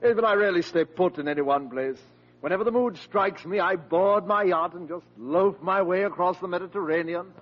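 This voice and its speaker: An actor playing a pompous, freeloading uncle who poses as a wealthy gentleman, with haughty, florid enunciation in classic radio comedy style. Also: sits high, a little fast. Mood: grandly boastful. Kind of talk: 1940s radio comedy acting. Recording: dull, noisy old radio recording.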